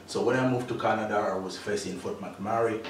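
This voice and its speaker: A man's voice: on the low side, fairly quick. A middle-aged man from Tanzania answering interview questions about his engineering career, with many fillers and restarts. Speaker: interview guest, mining engineer. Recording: echoey room, distant mic, faint noise.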